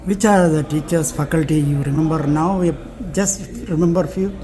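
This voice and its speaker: A man interviewing a guest, asking halting, hesitant questions. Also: on the high side, crowd noise.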